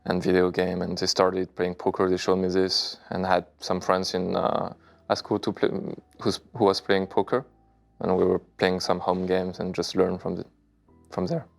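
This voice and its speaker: Guest, 30s man from China, very low gravelly voice, smooth, hesitant phrasing, soft music under. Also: flat delivery. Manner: halting, searching for English words. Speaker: interview guest, poker player. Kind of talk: casual interview answers.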